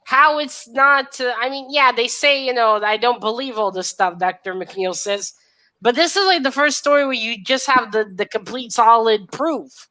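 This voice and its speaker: A woman's voice: on the high side, normal speed, sharp tone. A woman in a casual book discussion, retelling her reactions as she thinks them through.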